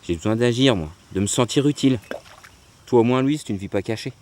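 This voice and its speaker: A man's voice: mid-range voice, fairly quick, a little mellow, close to an everyday voice. A man playing an earthy, restless young farm worker in hiding in an audio drama. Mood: wistful, restless. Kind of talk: French audio drama acting.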